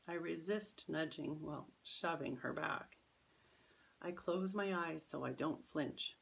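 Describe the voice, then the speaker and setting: A woman reading her own children's story as expressive, careful, dramatic narration. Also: low voice, gravelly, poor audio.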